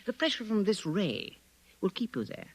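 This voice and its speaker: An actor playing a menacing alien who poses as a dutiful son, theatrical and sinister in 1950s radio drama style. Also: male voice, high-pitched, big pitch swings, normal speed, slightly rough, no echo, full character voice. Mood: gloating, sinister.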